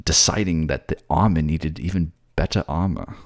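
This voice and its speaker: A man's voice: a bass voice, normal speed, no echo, studio quality. A man playing a suave, manipulative fantasy ruler who coaxes his captive in an intimate ASMR audio drama. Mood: insinuating. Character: suave manipulative male water-folk ruler, soothing captor.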